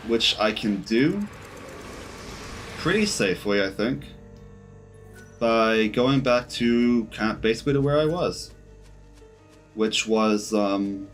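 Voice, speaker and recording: A man giving casual live gameplay commentary as a streamer, rambling and thinking aloud as he goes. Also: fairly low pitch. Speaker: video game streamer. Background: game music.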